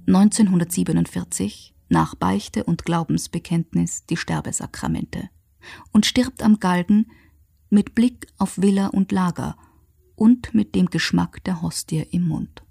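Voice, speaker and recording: A woman narrates a historical radio documentary in a sober, measured way. Her voice is mellow and slightly low, with marked creak, and her delivery is factual and scripted. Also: very animated.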